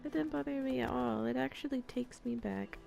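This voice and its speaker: A woman's voice: a little high, a little fast, gravelly, a little mellow. A woman streaming a game with casual, playful, jokey reactions. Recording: game music behind the voice. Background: game music.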